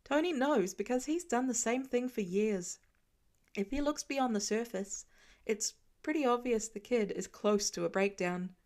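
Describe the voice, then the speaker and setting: A woman narrating a fan story aloud in an expressive storytelling manner. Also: fairly high pitch, unhurried. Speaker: podfic reader. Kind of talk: audiobook fan reading.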